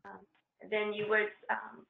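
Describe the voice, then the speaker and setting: A woman presenting on a training call, walking informally through a procedure step by step in a halting, hesitant way. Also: medium pitch, monotone, vocal fry, slight background noise. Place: conference call with shared screen. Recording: roomy, muffled conference-call line.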